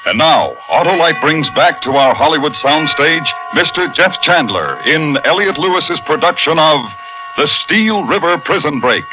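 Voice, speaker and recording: An American man gives a formal vintage radio introduction in a fairly high voice. Music plays clearly behind him, and the old recording is a little dull. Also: very animated, moderate pace. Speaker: radio drama announcer.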